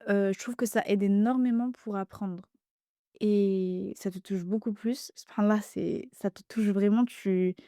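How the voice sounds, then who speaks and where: A woman's voice: medium pitch, a little slow, no room sound, studio quality. A young woman guest talking casually on a podcast, full of fillers and restarts, Arabic dialect as her first language. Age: young adult. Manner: hesitant, many fillers and restarts, animated. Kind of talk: casual conversation.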